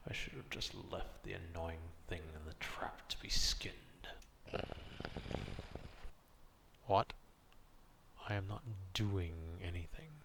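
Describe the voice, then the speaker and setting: A very deep, gravelly male beast, acted by a man, slow and grumbling. He is a distrustful, menacing guardian of the forest in an ASMR roleplay, speaking down to humans with haughty disdain over faint background noise. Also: a bit bright, heavily acted. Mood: grumbling, irritated.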